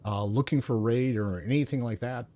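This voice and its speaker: A man's voice: mid-range voice, moderate pace, mellow. An older man chatting casually about gaming as he hosts a stream. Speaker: game streamer, host.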